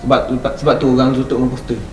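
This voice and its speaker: A man's voice: medium pitch, little pitch movement, racing, darkish tone. A young man with a Malaysian accent, chatting casually as he tells ghost stories. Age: young adult. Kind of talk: casual ghost-story chat. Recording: roomy, distant mic, faint music.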